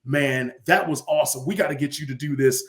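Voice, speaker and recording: A man's voice: mid-range voice, a little fast. A man, an arena announcer, telling casual stories as an interview guest and acting out bits of dialogue. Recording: roomy echo on the voice.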